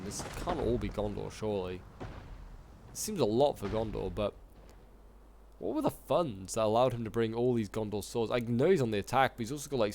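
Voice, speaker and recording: A man with a sore throat, slightly hoarse, giving casual, rambling commentary on a strategy game battle with lots of fillers. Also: fairly low pitch, a little mellow, a little hiss or hum. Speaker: gaming YouTuber casting a multiplayer battle.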